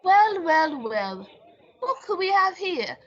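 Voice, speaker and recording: Jolly department-store Christmas character, extremely high voice, wildly animated sing-song gushing for kids, radio play. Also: female voice, takes it slowly, highs cut off, full character voice. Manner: exclaiming, sing-song, repeats children's answers. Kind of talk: old-time radio drama acting.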